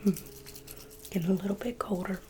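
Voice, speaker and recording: A woman's voice: medium pitch, a little fast, over music. A young woman whispering an ASMR roleplay as a museum guide, playful and teasing like a salesperson.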